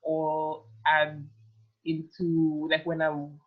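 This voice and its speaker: A woman's voice: low voice, completely flat delivery, extremely slow. A woman in her twenties from Uganda, chatting as a guest in a casual interview and rambling with lots of "like" fillers. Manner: rambling, heavy use of "like" fillers. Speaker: guest, fashion model. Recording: tinny phone-like call audio.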